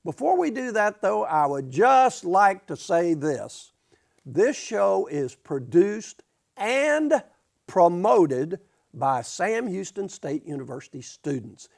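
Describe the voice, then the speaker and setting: A man hosting a university talk show, speaking in a halting way and restarting his sentences. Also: high voice, creaky, darkish tone. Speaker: host. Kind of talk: campus TV talk show interview.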